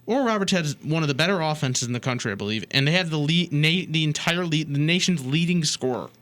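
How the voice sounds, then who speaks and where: Higher-voiced man in casual sports debate. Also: expressive, fast, a bit bright. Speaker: sports talk show co-host.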